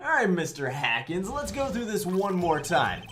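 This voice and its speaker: Woman as a cocky, animated young male lawyer. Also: fairly low pitch, moderate pace, soft background music. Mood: wry. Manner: theatrical, sarcastic, jokey. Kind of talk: video game fandub acting.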